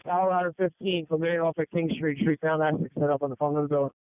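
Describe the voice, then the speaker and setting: Male incident commander, high clear voice, terse orders, thin narrowband radio sound. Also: fairly even intonation, fast talker, no room sound. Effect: two-way radio. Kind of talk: fireground radio traffic. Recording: phone-like narrowband radio transmission.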